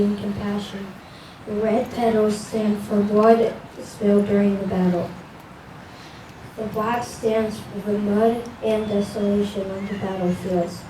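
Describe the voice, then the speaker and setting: Even-toned woman reading aloud, distant and echoey. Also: medium pitch, a little slow. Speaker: ceremony reader. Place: outdoor veterans' memorial ceremony. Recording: distant, echoey, noisy outdoor pickup.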